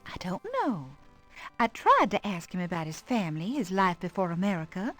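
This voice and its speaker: Kindly old grandmother, very expressive. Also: fairly low pitch. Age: older. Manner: fond, expressive storytelling. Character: kindly old grandmother, wistful widow, fond of her grandson. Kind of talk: voice acting in a 1990s adventure game. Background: game music.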